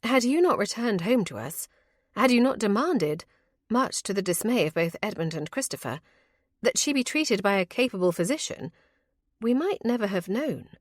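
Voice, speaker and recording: A composed, caring young lady of a historical audiobook, voiced by a woman narrator. The speech is formal and gently firm, lifted higher than the narration, and dry. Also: very animated, normal speed, no echo. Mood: reassuring, earnest. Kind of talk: audiobook character voice.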